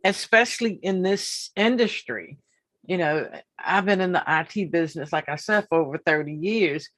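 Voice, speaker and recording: A woman in her fifties hosting an interview, talking in a slow, emphatic way and repeating her points. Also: on the low side, lively intonation, a bit bright. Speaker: podcast host. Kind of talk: casual interview.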